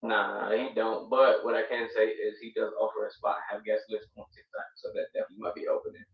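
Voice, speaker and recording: A man's voice: fairly low pitch, flat delivery, quick pace. A man appearing as a DJ guest on a live stream, chatting in a laid-back way, swearing and hyping up the show. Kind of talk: casual stream chat. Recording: distant, roomy, dull-sounding mic.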